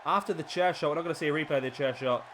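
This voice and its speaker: A man, sniffly with a cold, commentating a wrestling video game play by play and getting excited at big moves. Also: fairly high pitch, rapid-fire, noisy background.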